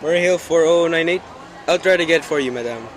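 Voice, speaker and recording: A woman's voice: sits low, fast, a faint crowd, lightly acted. A woman playing a polite, unruffled telephone operator with a formal, routine manner in an amateur radio play.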